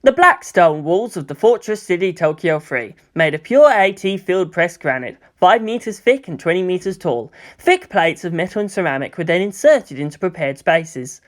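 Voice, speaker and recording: A narrator reading a scripted story in an expressive way, with dramatic emphasis. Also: medium pitch, normal speed, hardly any pauses. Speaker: audiobook narrator. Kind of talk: scripted narration of fan fiction.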